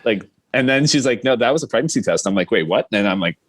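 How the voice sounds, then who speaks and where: A man's voice: mid-range voice, animated, quick pace, fairly bright tone, breathy edge, dry recording. A man telling personal stories in a casual, unpolished way as a podcast guest. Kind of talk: casual chat with personal anecdotes.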